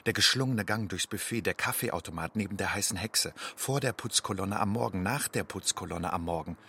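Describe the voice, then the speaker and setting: Radio play role: tired, watchful homeless man, lowish voice, measured poetic monologue. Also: vocal fry, bright, forceful tone, slight background noise, exaggerated voice. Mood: weary, watchful. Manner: measured, brooding. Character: weary, watchful man living at motorway rest stops. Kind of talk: poetic second-person monologue.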